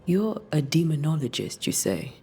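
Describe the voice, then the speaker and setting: An actor playing a direct, probing ghost hunter in a period video game, terse and matter-of-fact. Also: male voice, fairly high pitch, sing-song, normal speed, a little mellow, husky, faint music behind, exaggerated voice.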